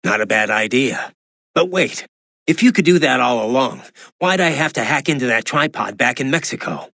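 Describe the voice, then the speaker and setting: A gruff cyborg fighter for a video game. The voice is raspy and full of gravel, with a hard, forceful edge. He talks in short, dry, informal lines with a wry, tough temper. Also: mid-range voice, expressive, moderate pace, full character voice. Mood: wry, casual. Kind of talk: scripted video game voice acting.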